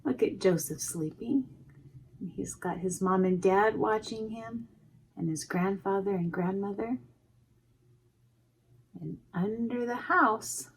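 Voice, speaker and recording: Woman, slow pace, slightly husky, children's read-aloud, simple expressive explaining. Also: mid-range voice. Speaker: preschool education manager reading a storybook.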